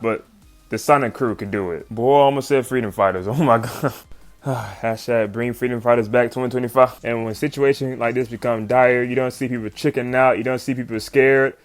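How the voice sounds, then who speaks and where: A man giving a casual, excited comic recap, full of slang, swearing and chuckles. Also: medium pitch. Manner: slangy, swearing, often chuckling hype talk. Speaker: YouTube comic commentator. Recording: background music under voice. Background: music.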